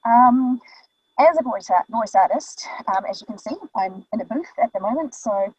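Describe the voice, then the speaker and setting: A woman's voice: on the high side, expressive, normal speed, darkish tone, breathy edge, no echo. A woman with a New Zealand accent, a voice artist explaining her work in casual, chatty talk with many ums, speaking into a studio microphone in a small vocal booth. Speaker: voice artist guest. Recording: dull, dry booth sound.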